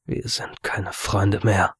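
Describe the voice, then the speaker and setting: A man's voice: lowish voice, monotone, slow pace, a bit bright, husky, no echo, studio quality, voice pushed down, full character voice. A man voicing an alarmed, angry, cursing man trapped in a horror audiobook. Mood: accusing. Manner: agitated, cursing.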